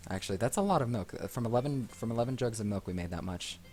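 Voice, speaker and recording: A man's voice: mid-range voice, animated, fast talker. A man doing casual, rambling, self-deprecating let's play commentary, often repeating his phrases. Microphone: desk microphone picking up the room. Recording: game music behind, desk mic. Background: game music.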